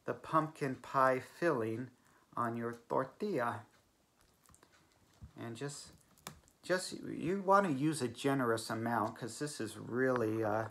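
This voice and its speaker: Male drag host, spoof cooking demo, deadpan gushing delivery, faint background hiss. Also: mid-range voice. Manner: mock-sincere, gushing over cheap goods. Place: small home room, seated at a table.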